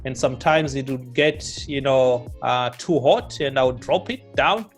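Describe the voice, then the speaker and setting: A middle-aged man from Zimbabwe, a guest author recalling his childhood in a slow, reflective interview, with many fillers and restarts. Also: mid-range voice, faint music behind. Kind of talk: relaxed life-story interview.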